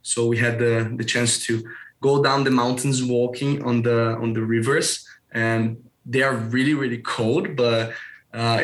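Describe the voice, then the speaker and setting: A man's voice: mid-range voice, fairly bright tone. A young man from Brazil with a Brazilian Portuguese accent, answering interview questions casually and searching for words as he goes. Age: young adult. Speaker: interview guest, school alumnus.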